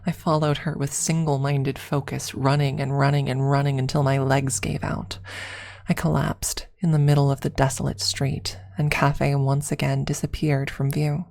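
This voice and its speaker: A woman reading a first-person story aloud as ASMR, softly and deliberately, with dramatic pauses and some near-whispered phrasing. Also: rumbling low, little pitch movement, gravelly.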